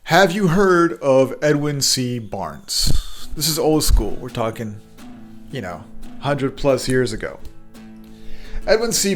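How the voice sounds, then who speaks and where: A man hosting a motivational podcast, earnest and prone to repeating words and restarting, who also speaks Hebrew. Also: medium pitch. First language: bilingual, speaks Hebrew. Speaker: podcast host.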